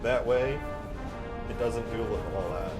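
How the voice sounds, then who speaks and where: A man's voice: medium pitch, slow pace, over loud music. A man livestreaming as a game modder, chatting casually in a rambling, jokey way as he answers viewers. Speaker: live streamer and game modder.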